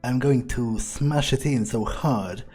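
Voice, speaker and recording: A man voicing a weary, threatening vampire as in-character video game roleplay, dry and deliberate in manner, with a breathy voice. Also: mid-range voice, darkish tone, soft background music. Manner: deliberate, dry, menacing, swears. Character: brooding, threatening male vampire, weary and impatient.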